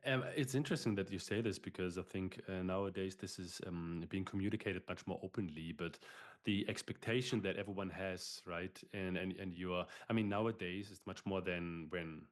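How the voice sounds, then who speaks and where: Man, podcast host, low voice, halting, repeats words. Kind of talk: casual interview.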